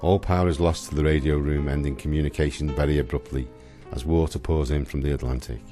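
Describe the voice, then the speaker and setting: A man's voice: rumbling low, fairly even intonation, fast, over music. A man giving dramatic scripted narration for a historical radio play.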